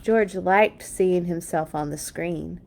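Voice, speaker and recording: A woman reading a children's story aloud in an expressive, playful way for young listeners. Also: fairly low pitch, takes it slowly, vocal fry. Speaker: children's read-along storyteller. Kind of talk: storybook read-aloud with animated asides.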